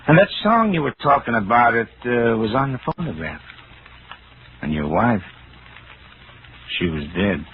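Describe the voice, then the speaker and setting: An actor playing a steady, probing police inspector in a 1940s radio thriller, calming and questioning deliberately. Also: male voice, mid-range voice. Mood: grave. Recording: tinny, faintly noisy vintage radio.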